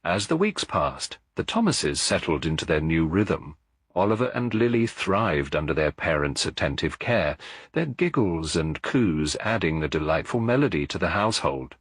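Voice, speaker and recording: Male narrator for mystery audiobook: low, slightly husky, measured storytelling. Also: sing-song, no room sound, clean studio sound.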